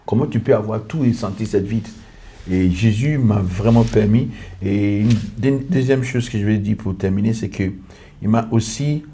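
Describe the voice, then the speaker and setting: Earnest pastor. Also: male voice, on the low side, normal speed, mellow. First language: English. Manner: earnest, repetitive, lists in short clauses. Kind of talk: testimony in a podcast conversation.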